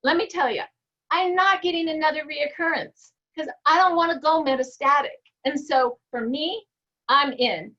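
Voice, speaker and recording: A woman's voice: high-pitched, normal speed, sharp tone, lo-fi sound. A woman giving an earnest, emphatic personal testimonial in casual talk. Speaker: network marketing guest.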